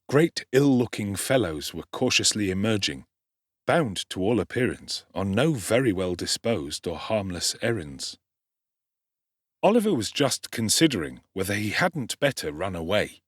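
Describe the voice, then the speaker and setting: A man's voice: on the low side, no room sound, studio quality. A man giving a dramatic narration of a classic novel as an audiobook actor.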